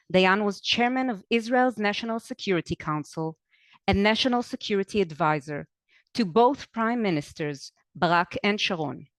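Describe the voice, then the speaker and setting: A woman's voice: medium pitch, no echo. A woman hosting an interview, reading a formal, scripted introduction and then asking questions. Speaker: host.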